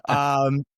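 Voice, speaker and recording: A man's voice: mid-range voice, fairly bright tone, smooth, dry recording, studio quality. A man in his twenties, a native Russian speaker raised in California, telling his story as a podcast guest in an earnest, casual way, full of "um" and "brother". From USA, California.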